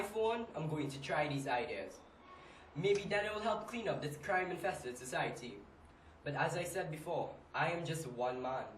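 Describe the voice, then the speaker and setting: A man's voice: a little high, natural voice. Trinidadian businessman, played by a man, fed up and emphatic, dramatic monologue, roomy distant sound with faint noise. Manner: emphatic, exasperated, with rhetorical questions. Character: frustrated, weary businessman fed up with robberies. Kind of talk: dramatic monologue, amateur stage acting. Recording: echoey room, distant mic, faint noise.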